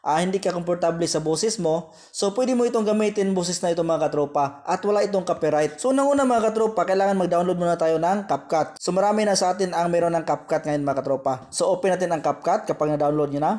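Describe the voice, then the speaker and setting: A Filipino man with a fairly high voice narrates an app tutorial. He talks straight to viewers as friends and repeats each step as he goes. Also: quick pace, mellow. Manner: repetitive, addressing viewers directly as friends. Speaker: tutorial host.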